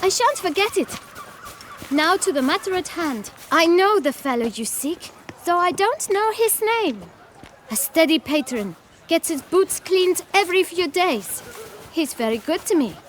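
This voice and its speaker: A woman's voice: extremely high pitch, animated, measured pace, a little hiss or hum. A woman voicing a glib, enterprising young street boy in a video game. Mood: eager, bargaining. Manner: glib, mock-formal salesmanship.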